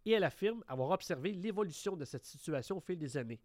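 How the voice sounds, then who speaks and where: A Québécois man with a fairly high voice hosts a radio talk show. He reads news items and comments on them with fillers and restarts. The sound is dry and close. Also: expressive, fast talker, darkish tone, dry recording. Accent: Québécois French.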